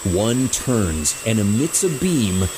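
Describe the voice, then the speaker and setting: A man's voice: fairly low pitch, very animated, slow, a little mellow, a little hiss or hum. A man delivering scripted dramatic narration as the narrator of a story.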